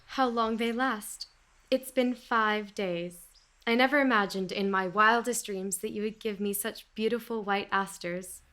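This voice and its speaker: A woman's voice: medium pitch, steady tone, a little slow, a bit bright, close to an everyday voice. An actress playing a struggling young woman in a radio play, guarded but hopeful. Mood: tender.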